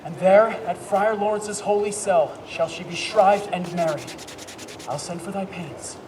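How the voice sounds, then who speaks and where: A man playing an earnest, urgent young gentleman lover in a Shakespearean stage play. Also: high-pitched, measured pace. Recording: distant echoey hall, background noise.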